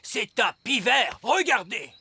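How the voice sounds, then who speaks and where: Creaky, swooping dramatic elder. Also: male voice, extremely high pitch, sing-song, very noisy, heavily acted. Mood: alarmed, grave. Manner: slow, dramatic. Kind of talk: French animated cartoon dubbing.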